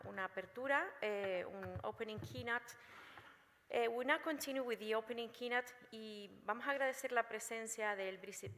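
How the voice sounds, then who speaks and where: A woman introduces speakers at a conference in a formal tone, stumbling slightly each time she switches languages. Her voice is bright, and the large hall adds a long reverb. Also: medium pitch, a little hiss or hum. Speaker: event presenter. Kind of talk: bilingual event hosting.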